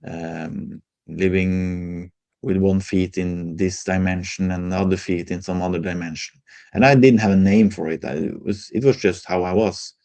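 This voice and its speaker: A middle-aged man from Norway with a Norwegian accent, a guest speaking reflectively in an interview, halting and restarting often. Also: sits low, steady tone. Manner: halting, restarts often, soft-spoken, chuckles. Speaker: guest, healer.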